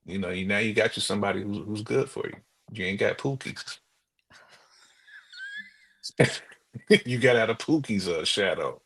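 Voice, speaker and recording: A man co-hosting a relationship podcast, chatting casually in a halting, colloquial way. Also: on the low side, a bit bright, breathy edge. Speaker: podcast co-host.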